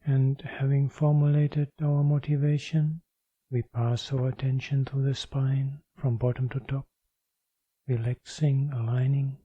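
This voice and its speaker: A man leading a guided meditation as a teacher, with measured, deliberate phrasing and pauses. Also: mid-range voice, little pitch movement, mellow, breathy edge, no room sound, muffled recording.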